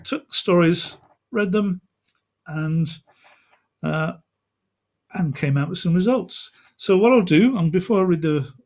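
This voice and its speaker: A man with a fairly high voice hosts an informal online event. He talks in a chatty, rambling way, as on a video call. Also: takes it slowly, long pauses, a little mellow. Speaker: event host.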